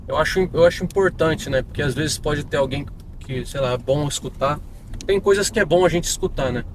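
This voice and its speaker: A young man with a São Paulo interior accent, telling his conversion testimony in a rambling, casual way, recorded outdoors on a city street. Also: fairly high pitch. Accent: São Paulo interior Brazilian Portuguese. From interior of São Paulo state, Brazil. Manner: rambling, many fillers and restarts. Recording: outdoor street with passing traffic.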